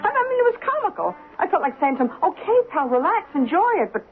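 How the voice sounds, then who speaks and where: Lively, chatty New diner woman. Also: extremely high pitch, fast. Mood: amused, chatty. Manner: wry, folksy, colloquial. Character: chatty, good-natured working-class diner counter woman. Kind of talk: old-time radio drama acting. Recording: tinny radio recording, faint music.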